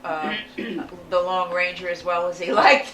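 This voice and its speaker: A woman's voice: lowish voice, steady tone, a little slow. A woman in an audience making casual comments from the floor. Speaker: audience member. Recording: echoey room, distant mic, crowd murmur.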